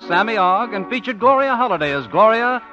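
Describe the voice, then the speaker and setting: A man's voice: high-pitched, animated, brisk, dull audio. An American man reading scripted announcements as a 1950s network radio announcer. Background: music.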